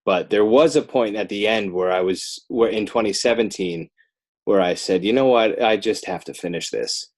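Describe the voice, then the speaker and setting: A man's voice: fairly low pitch, moderate pace. An American man talking casually as an interview guest, rambling and joking in a self-deprecating way. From northern New Jersey, United States. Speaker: podcast guest, author and illustrator. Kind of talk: casual conversational interview chat.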